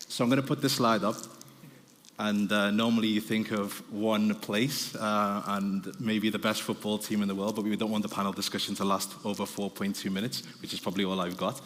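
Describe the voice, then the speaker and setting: A man from Liverpool with a Liverpool accent, giving a brisk business presentation with slides to a conference panel audience. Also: lowish voice, flat delivery, fairly bright tone. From Liverpool, England. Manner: brisk, hurrying through slides. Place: large conference hall. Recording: distant hall reverb with faint noise.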